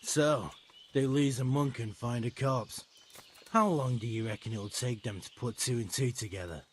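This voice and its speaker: An actor playing a shady medieval bandit recruiter in a game, dry and offhand. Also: male voice, mid-range voice, moderate pace, slight background noise, close to an everyday voice. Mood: wry, approving. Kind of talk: video game cutscene acting.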